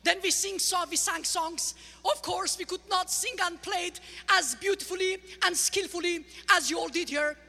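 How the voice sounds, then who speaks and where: High bright Armenian-accented woman preacher. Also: moderate pace, vocal fry. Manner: lively, humorous, teaching the crowd. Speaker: guest speaker. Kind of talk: church testimony. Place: large church sanctuary. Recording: large church hall reverb with faint music.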